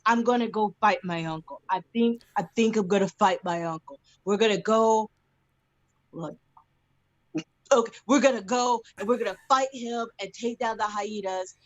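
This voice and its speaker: A woman performing an improv monologue over a video call, giggly and rushing through her lines. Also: mid-range voice, no room sound, dull audio.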